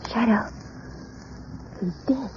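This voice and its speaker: A woman's voice: on the high side, slow pace, a little mellow. An actress playing an anxious, loyal young woman in danger, urgent and breathless in 1930s radio style. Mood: earnest. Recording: noisy, muffled 1938 radio recording.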